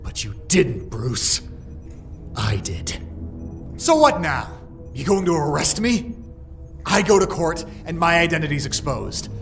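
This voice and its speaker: A man's voice: on the high side, sing-song, takes it slowly, creaky, pauses often, heavily acted. A man plays a bitter young antihero in a comic dub. The delivery is sardonic and accusatory, with a mid-range voice that moves a lot over prominent music. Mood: bitter, defiant.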